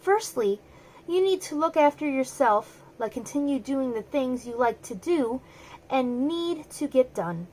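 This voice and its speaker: Storybook elephant, played by a woman: elderly female, wise, kind, high register, soothing and reassuring delivery. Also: expressive, unhurried, dark tone, a little hiss or hum, low-quality recording. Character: wise old gentle female elephant, comforting. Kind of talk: children's storybook read-aloud.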